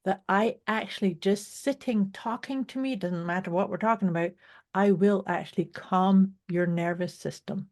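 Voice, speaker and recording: Calm-voiced woman co-hosting a podcast. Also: medium pitch, normal speed. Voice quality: calm, soothing voice. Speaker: podcast co-host. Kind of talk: casual podcast chat.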